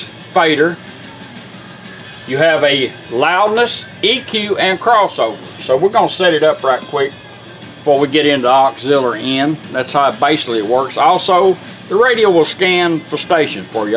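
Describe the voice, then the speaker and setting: A man's voice: fairly high pitch, lively intonation, moderate pace, fairly bright tone, faint music behind. A plainspoken man, casually demonstrating a product he restored. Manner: folksy, plainspoken.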